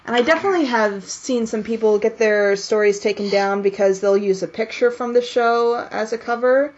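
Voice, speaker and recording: A woman with a fairly high voice conducts a casual interview. The recording is slightly muffled, with faint noise. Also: normal speed. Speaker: podcast interviewer.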